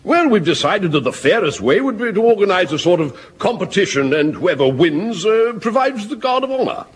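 An actor playing a genial, blustering army brigadier in a radio sitcom, hearty and hesitant with little ums. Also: male voice, high voice, big pitch swings, moderate pace, breathy edge, heavily acted. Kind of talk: 1970s radio sitcom acting. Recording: old radio recording with faint hiss.

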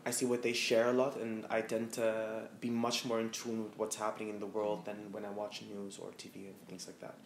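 A man in his thirties from the Netherlands, speaking as a guest before a small audience in a candid, reflective way with many fillers. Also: lowish voice. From Amsterdam, Netherlands. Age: 30s. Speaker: guest, fashion stylist. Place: room with a live audience. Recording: roomy echo, faint noise.

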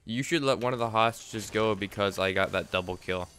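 A young man streaming a game from his room, chatting excitedly and repeating himself. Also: lowish voice, a little fast, smooth, soft background music. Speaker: gaming streamer. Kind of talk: casual gameplay chat. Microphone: desk mic, wearing headset.

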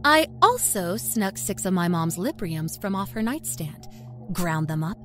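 A woman narrator giving an expressive, dramatic first-person reading of a scripted horror story. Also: medium pitch. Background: musical score.

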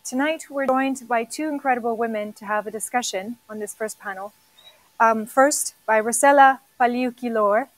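A woman hosting a panel, reading formal guest introductions with occasional pauses, filmed in a studio. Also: on the high side, rough recording. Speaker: panel host. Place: studio with dark background.

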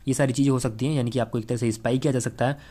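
A man's voice: mid-range voice, fairly even intonation, rapid-fire, mellow. A man, explaining tech in a conversational, step-by-step way as a channel host. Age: 20s. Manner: conversational, explains step by step with frequent tag phrases. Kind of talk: explanatory tech vlog to camera and screen tutorial. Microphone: clip-on lapel mic.

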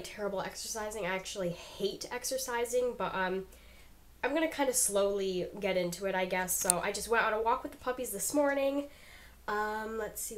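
A young woman vlogging casually in her bedroom, a little stuffed up from a cold, chatty with lots of ums. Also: a little high. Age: young adult. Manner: chatty, many ums, upbeat. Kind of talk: casual chat. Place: bedroom indoors. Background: fan humming.

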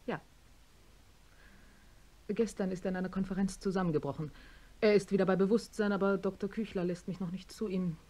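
Actress as rich industrialist's wife, medium pitch, poised, ladylike, direct, radio drama delivery. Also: fairly even intonation, a little fast, pauses often, dark tone, close to an everyday voice. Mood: worried, restrained. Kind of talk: German radio drama acting.

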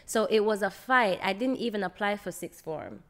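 A woman's voice: medium pitch, moderate pace, slight background noise. Young Jamaican woman telling stories. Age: young adult. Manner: animated, acts out quoted dialogue. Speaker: podcast guest, actress. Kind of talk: casual conversational storytelling. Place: open, bright living room, on a sofa. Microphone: podcast microphone on boom arm, close.

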